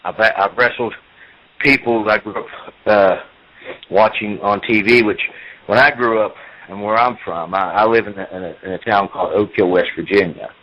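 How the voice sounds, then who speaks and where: A man's voice: lowish voice, steady tone, normal speed, muffled recording. A man in his forties, a professional wrestler, talking at length in an interview, repeating himself as he tells his story. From United States. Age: 40s.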